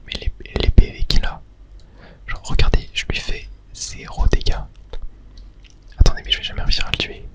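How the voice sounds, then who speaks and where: An ASMR streamer whispering gameplay commentary in a breathy, unvoiced voice, murmuring thoughts aloud.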